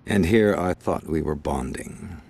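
A man's voice: very deep voice, measured pace, creaky, darkish tone, husky, exaggerated voice. A man playing a cold, sardonic, middle-aged crime boss in a video game cutscene, speaking formally with dry sarcasm. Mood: mocking.

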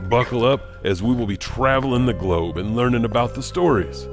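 An actor playing a chatty, eerie janitor who hosts a horror anthology in a rambling, folksy, darkly playful way. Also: male voice, medium pitch, fairly quick, music in the background, close to an everyday voice. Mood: eager storytelling. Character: chatty, eerie, friendly male janitor storyteller. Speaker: host. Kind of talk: theatrical horror anthology narration.

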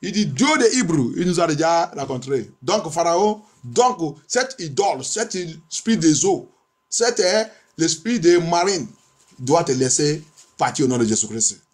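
High-pitched male pastor in fervent prayer. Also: expressive, measured pace. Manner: declamatory, repetitive, insistent. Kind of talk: bilingual sermon and fervent prayer.

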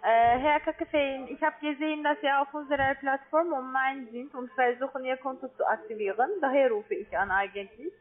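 A woman's voice: sits high, steady tone, normal speed, few pauses. A woman speaking as a call-centre agent, making a sales call on the phone. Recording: narrow tinny phone line.

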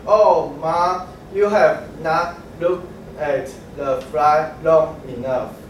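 A young man playing an eager little frog child, reading his lines slowly in a readers' theatre. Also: on the high side, fairly even intonation, slight background noise. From Taiwan. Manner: slow, deliberate reading from a script. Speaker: student reader. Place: large classroom, standing in a row. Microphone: far camera. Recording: very echoey classroom from far off.

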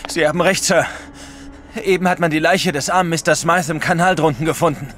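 A man's voice: a little high, steady tone, fairly quick, fairly bright tone, soft background music, natural voice. An actor playing a stout, earnest policeman, emphatic and agitated, in a radio play. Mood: agitated, insistent.

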